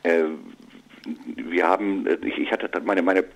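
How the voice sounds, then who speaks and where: A comedian being interviewed, answering casually with many fillers and restarts. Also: male voice, low voice, level tone, measured pace. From northern Germany. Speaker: comedian and musician. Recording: radio broadcast.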